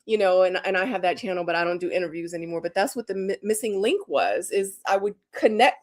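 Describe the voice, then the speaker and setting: A woman's voice: fairly low pitch, fast talker. Chatty woman co-hosting a live stream. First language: Korean. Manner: chatty, says "like" a lot. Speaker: co-host. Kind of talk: casual live-stream chat.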